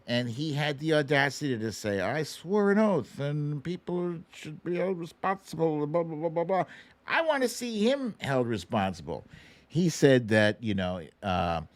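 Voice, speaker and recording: A man delivering casual political commentary as a livestream host. His pace is unhurried but his pitch swings widely, and he sounds sarcastic and indignant, swearing freely. The recording is dry and close. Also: no room sound.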